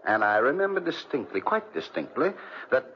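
Extremely swooping, calculating blackmailer. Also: male voice, medium pitch, wildly swooping pitch. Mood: smug. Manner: suave, insinuating, deliberate phrasing. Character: smug, calculating male blackmailer. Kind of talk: 1940s radio drama acting. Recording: muffled, noisy 1940s radio recording.

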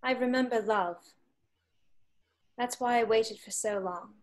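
A woman's voice: a little high, measured pace, natural voice. A woman playing a guarded, wistful young Jedi, earnest and understated, at a script table read. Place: home, over video call.

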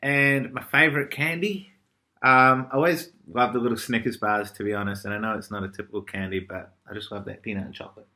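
Kiwi guy in his 30s reading questions out, relaxed, bright crisp voice, camera mic. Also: fairly low pitch, quick pace. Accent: New Zealand. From New Zealand. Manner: relaxed, reads out questions plainly. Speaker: musician guest. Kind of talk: casual chat. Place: indoor room by a window.

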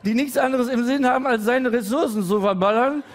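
A man in his forties doing a stand-up comedy routine with halting, hesitant delivery and deadpan timing, full of pauses and fillers. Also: extremely high pitch, some chatter behind. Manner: deadpan, growing excited and loud toward the end.

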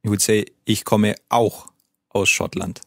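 A native German speaker teaching a language lesson, patiently modelling pronunciation. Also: male voice, on the low side, fairly even intonation, measured pace, creaky, fairly bright tone, dry recording, studio quality.

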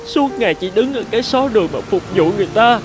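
A man playing a gloomy, self-pitying traffic light that longs for freedom, complaining plaintively in an animated fairy tale. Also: high voice, fast. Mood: sad, complaining. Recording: music under the voice.

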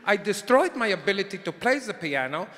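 A jolly dead German composer, in a put-on German accent. The voice is very high and bright. Long hall reverb. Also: male voice, big pitch swings, brisk, exaggerated voice.

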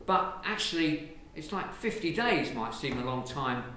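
An Englishman, a priest, giving a lively, conversational sermon from the pulpit of a large church, filmed from a distance. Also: fairly high pitch, unhurried. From England. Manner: lively, conversational preaching with gestures. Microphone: far camera. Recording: very echoey church from far off, faint hiss.

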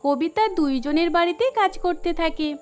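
A woman's voice: extremely high pitch, moderate pace. A woman narrating a scripted cartoon story, with music under her voice.

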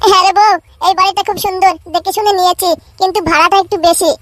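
A man voicing a hapless, placating poor husband in an amateur cartoon, with a pitch-shifted voice. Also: fairly even intonation, quick pace, a bit bright. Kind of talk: amateur cartoon comedy dialogue.

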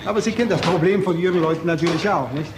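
An actor as a high-voiced, smug businessman. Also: very fast, reverberant, background noise, natural voice. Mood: mocking politeness. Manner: smug, sarcastically polite, talkative. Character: suave, mocking businessman under police suspicion. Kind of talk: German crime radio play acting.

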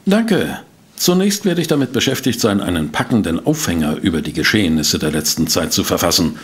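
A man playing an eager, cheeky tabloid reporter in an audio drama, with an excitable, dramatic manner. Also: low-pitched, brisk, slightly rough, exaggerated voice. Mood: eager, excited. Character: eager, sensationalist male newspaper reporter, cheeky. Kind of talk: German audio drama acting.

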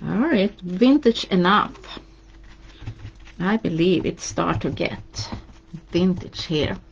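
A Swedish-accented woman talks a live audience through a craft project at her table, chatty and encouraging. Her delivery is slow and her voice sits a little low. The stream audio sounds dull and lo-fi. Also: animated, vocal fry, slight background noise. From Sweden. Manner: chatty, encouraging, reads out viewer comments while painting. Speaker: craft demonstrator.

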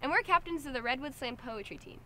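A teenage girl reads a scripted school announcement outdoors in a bright, upbeat manner. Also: high-pitched, expressive, quick pace, a little hiss or hum. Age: teens. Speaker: student announcer. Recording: faint outdoor ambience.

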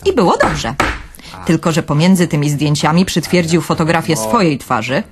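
A woman's voice: low-pitched, sing-song, normal speed, crowd noise. An actress playing a dreamy, wry young woman who narrates her own story in a radio drama.

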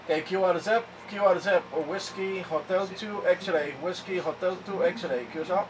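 A man's voice: high-pitched, steady tone, normal speed. A man operating an amateur radio, calling out call signs in clipped, repeated phrases. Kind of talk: amateur radio satellite contact calls. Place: indoor radio room. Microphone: camera mic away from the speaker. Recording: distant mic, loud noise, clicks.

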